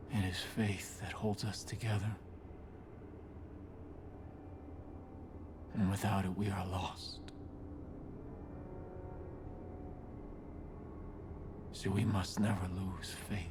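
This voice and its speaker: A man playing a soft-spoken, grieving, menacing cult leader in a game, preaching slowly and solemnly in a breathy hush. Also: low voice, noisy background. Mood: quietly menacing. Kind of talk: slow solemn sermon-like monologue.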